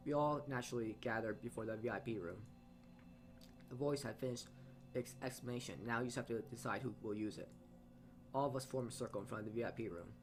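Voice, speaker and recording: Man reading game narration, mid-pitched. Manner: stumbles over words, rereading phrases. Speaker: let's-player reading aloud. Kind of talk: reading visual novel narration aloud.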